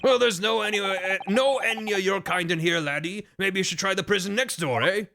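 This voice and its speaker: A man's voice: high-pitched, moderate pace, gravelly, background noise, voice pushed up. A man putting on a blustering Scottish prison governor. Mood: gruff. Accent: put-on Scottish. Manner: blustering, threatening. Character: gruff hostile middle-aged male prison governor.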